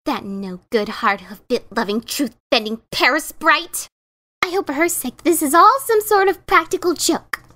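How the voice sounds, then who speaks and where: A woman's voice: high voice, a little slow, bright, forceful tone. A woman voicing an exasperated pegasus mother mare, scolding and sarcastic, in a dramatic reading. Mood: fuming. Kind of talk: dramatic reading of a fan story.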